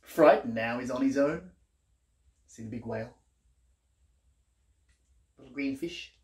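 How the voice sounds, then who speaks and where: A man's voice: mid-range voice, a little slow, darkish tone, echoey room. A man in his thirties reading a picture book aloud to young children in a playful, exaggerated storyteller way. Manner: playful, exaggerated storyteller delivery with questions to the listener. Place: small living room, indoors.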